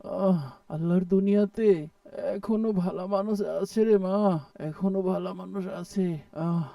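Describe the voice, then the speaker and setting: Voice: mid-range voice, fairly even intonation, slow pace, vocal fry, mellow, no room sound, clean studio sound, distant mic. An actor voicing a poor, sick, grateful father in a cartoon, groaning and sighing wearily. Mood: weary, grateful. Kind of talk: cartoon voice acting.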